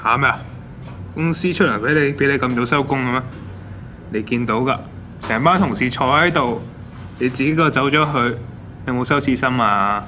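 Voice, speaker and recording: An actor with a Hong Kong accent playing a pushy, condescending office boss who nags and preaches at junior staff in an audio drama. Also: male voice, mid-range voice, normal speed, pauses often, sharp tone, a little hiss or hum, natural voice. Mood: scolding, indignant. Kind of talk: Cantonese audio drama acting.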